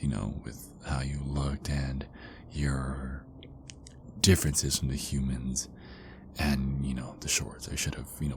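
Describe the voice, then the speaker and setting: A man playing a tender, insecure werewolf boyfriend in an intimate ASMR roleplay, speaking in a hushed, halting way that often slips into whispers. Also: extremely low pitch, creaky, slight background noise, exaggerated voice.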